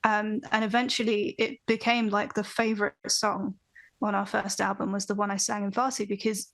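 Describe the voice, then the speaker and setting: A young woman, a band vocalist guest on a podcast, talking in a thoughtful, animated way with frequent restarts over a video call from a room at home. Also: mid-range voice, normal speed. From Britain. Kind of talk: casual interview chat. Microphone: video call, webcam.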